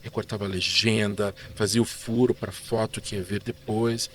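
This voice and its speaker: A man in his fifties with a Curitiba accent, a guest telling stories about his life in a relaxed, chatty way, tagging sentences with "né?". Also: lowish voice, moderate pace, a little hiss or hum. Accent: Curitiba Portuguese. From Curitiba, Brazil. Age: 50s. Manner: chatty, relaxed, frequent "né?" tags. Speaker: guest artist and illustrator. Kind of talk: casual interview storytelling.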